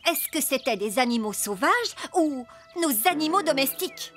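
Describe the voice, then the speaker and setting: Fretful cartoon wife, really high voice, dramatic, music underneath. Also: female voice, animated, moderate pace, fairly bright tone. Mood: serious, worried. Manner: exaggerated, fretful. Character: anxious, dramatic suburban wife who loves her garden. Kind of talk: cartoon dub acting.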